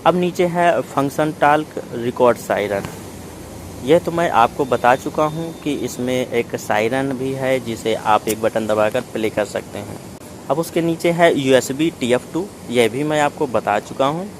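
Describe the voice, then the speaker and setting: A man's voice: medium pitch, measured pace, a little hiss or hum, poor audio. A man narrating a product unboxing in a methodical, explanatory way, step by step. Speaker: product reviewer.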